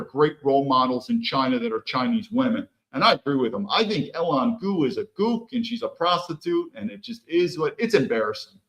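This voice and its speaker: A man's voice: fairly high pitch, a bit bright. A man hosting a podcast, talking bluntly and emphatically in solo commentary and acting out imagined exchanges. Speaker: podcast host.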